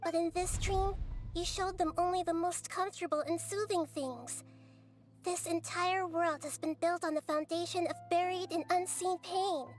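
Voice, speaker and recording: A woman voicing a small, wise girl deity in a video game, calm and earnest as she lectures. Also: very high-pitched, moderate pace. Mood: reflective. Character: small girl god, gentle, wise, calmly stern. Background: faint game music.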